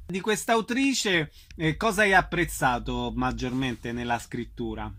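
A man's voice: medium pitch, measured pace, sharp tone. A man hosting a radio theatre programme, putting questions to guests and announcing shows. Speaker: radio host, interviewer.

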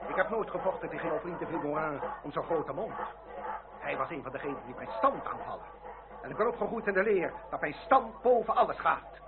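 A proud, grave aristocrat in middle age who speaks in formal, commanding phrases. The voice is a little high. It is an old radio drama recording, tinny and thick with noise and background din. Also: male voice, expressive, a little fast. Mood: proud, defiant. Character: haughty, unrepentant aristocrat, father of a grown son. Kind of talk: period radio drama acting.

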